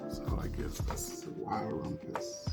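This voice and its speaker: A woman reading a picture book to children at bedtime in an expressive, dramatic way, drawing out certain phrases. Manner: dramatic, with emphasized words and drawn-out phrases. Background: music.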